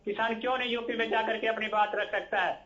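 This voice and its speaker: A man's voice: high voice, steady tone, fast talker, a bit bright. A man speaking as a party spokesman in a TV debate, emphatic and declamatory, repeating his points. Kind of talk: political debate speech. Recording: dull lo-fi remote link.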